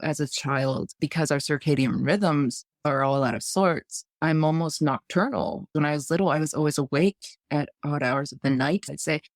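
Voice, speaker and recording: A woman in her thirties from the United States, a podcast guest telling her personal story in a measured, thoughtful way. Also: deep voice, no echo, studio quality. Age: 30s.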